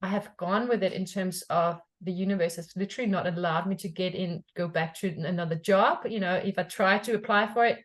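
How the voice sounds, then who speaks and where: A woman's voice: lowish voice, a little fast, hardly any pauses. A middle-aged woman from Denmark hosting a podcast, talking in a reflective, casual way and often checking in with "yeah?". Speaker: podcast host. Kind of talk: casual conversation.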